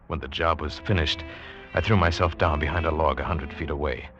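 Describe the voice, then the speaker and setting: An actor playing a hunted, desperate castaway, narrating his ordeal with tense, deliberate intensity in a 1940s radio drama. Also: a bass voice, fairly even intonation. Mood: tense, dread. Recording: dull vintage 1940s radio recording. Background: dramatic music.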